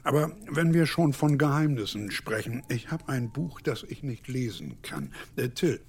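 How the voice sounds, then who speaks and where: An actor playing a humble, curious village miller in an audio drama, deferential and full of eager questions. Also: male voice, mid-range voice, expressive, moderate pace, darkish tone, a little hiss or hum, close to an everyday voice.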